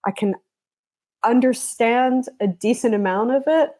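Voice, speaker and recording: A woman from the United States, a travel journalist, answering interview questions in a thoughtful, halting way. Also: a little high, dark tone. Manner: thoughtful, with restarts. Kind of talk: conversational interview.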